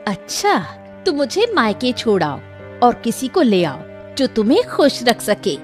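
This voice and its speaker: Actress as young cartoon village woman, determined, animated intonation, fairly high voice, light music behind. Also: a little slow. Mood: angry, scolding. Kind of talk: Hindi animated moral story dialogue.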